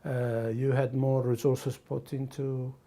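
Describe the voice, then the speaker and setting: A man from the Middle East or North Africa talking casually and reflectively, haltingly searching for words. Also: medium pitch, little pitch movement, warm tone. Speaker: documentary interviewee.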